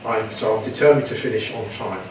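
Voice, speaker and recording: A man giving an academic conference talk in a measured way, sometimes repeating words. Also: fairly low pitch. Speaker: conference presenter. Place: conference room. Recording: echoey hall with background noise, mic at a distance.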